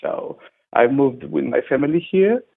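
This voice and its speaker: A man's voice: mid-range voice, animated, moderate pace, creaky. A man, a guest talking casually over a call, hesitant and often restarting as he searches for words. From North Macedonia. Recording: tinny phone-like call line.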